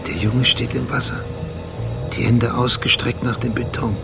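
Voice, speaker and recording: An actor playing an obsessive, brooding police detective who narrates a radio play in a deliberate, precise, philosophical manner. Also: male voice, mid-range voice, level tone, gravelly, natural voice. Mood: dreamy recollection. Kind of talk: radio play acting and literary monologue. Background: music.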